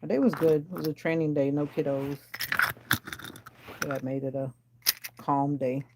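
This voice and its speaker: Woman, middle-aged, raspy, sleepy, low deep voice, gravelly, livestream chat, phone at home. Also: fairly even intonation, normal speed, very noisy. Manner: chatty, teasing viewers by name. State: raspy from a sinus infection; sleepy and exhausted. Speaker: livestream host. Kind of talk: casual livestream chat with viewers. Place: at home indoors.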